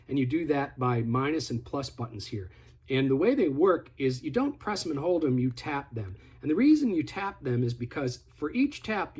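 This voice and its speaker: Slightly husky man demonstrating a product. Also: medium pitch, darkish tone. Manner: step-by-step, instructive, conversational. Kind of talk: casual product tutorial, hands-on demonstration.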